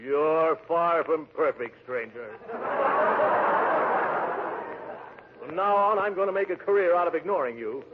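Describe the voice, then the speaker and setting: A man's voice: on the high side, normal speed, close to an everyday voice. An actor playing a pompous, jovial, gluttonous big man in a blustery, chuckling 1940s radio comedy style. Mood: needling. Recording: noisy tinny 1940s radio broadcast.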